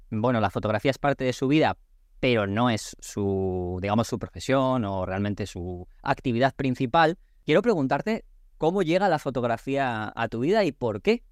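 A man's voice: medium pitch, clean tone, no room sound, studio quality. A man from hosting a podcast interview, chatty and reassuring, rephrasing his questions as he goes. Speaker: podcast host.

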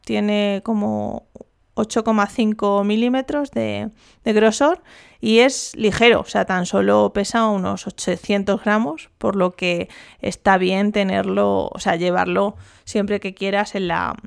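A woman's voice: medium pitch, fairly even intonation, measured pace, gravelly, smooth. A woman hosting a casual solo podcast, chatty and rambling with jokey asides. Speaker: podcast host.

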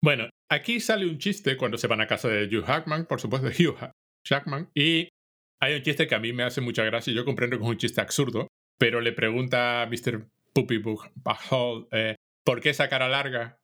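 A man's voice: medium pitch, fairly quick, sharp tone. A man co-hosting a podcast, recapping a cartoon episode in casual chat. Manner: recaps episode plot, quotes lines with little acting. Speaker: podcast co-host.

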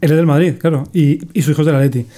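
A man on a casual football chat panel, telling stories in a rapid, stammering, enthusiastic way. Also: a little high, fairly even intonation, mellow. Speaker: podcast panelist.

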